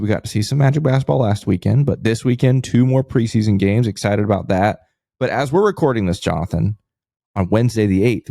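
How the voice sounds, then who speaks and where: A man's voice: fairly low pitch, creaky, dark tone, dry recording, clean studio sound. A man co-hosting a sports podcast, talking casually and with enthusiasm.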